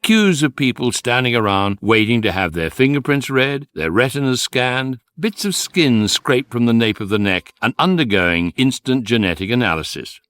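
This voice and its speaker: A British man playing a wry electronic guidebook, narrating comic science fiction with measured, ironic delivery. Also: medium pitch, expressive, fairly bright tone, clear, resonant tone, no room sound, close to an everyday voice. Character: wry electronic guidebook, calm male narrator, drily amused.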